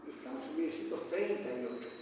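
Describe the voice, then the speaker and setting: A man's voice: fairly high pitch, animated, quick pace, noisy background. A man presenting his book to an audience, telling history in a rambling way, correcting himself and using many fillers. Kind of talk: public book talk. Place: lecture hall. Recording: echoey hall from far off, faint ambience.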